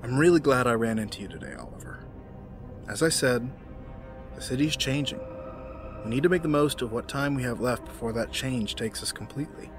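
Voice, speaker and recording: An actor playing a middle-aged businessman villain in an audio drama, formal, measured and condescending. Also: mid-range voice, lively intonation, over music, close to an everyday voice. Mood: polite, ominous.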